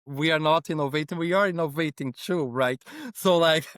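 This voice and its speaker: Man, Brazilian accent, native Portuguese speaker, podcast guest, non-native English, smooth clean voice, dry sound, conversational. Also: on the high side, normal speed, a bit bright, no echo. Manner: non-native English, often checks with "right?". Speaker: podcast guest, innovation consultant. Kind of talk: conversational interview answers.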